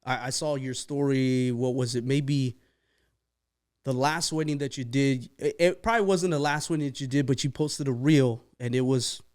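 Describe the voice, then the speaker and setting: A male podcast host with a voice of ordinary middle pitch, speaking in a studio. He keeps the chat upbeat and loose and repeats the guest's answers back to her. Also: fairly even intonation, normal speed, a little mellow, clean tone. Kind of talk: casual interview chat.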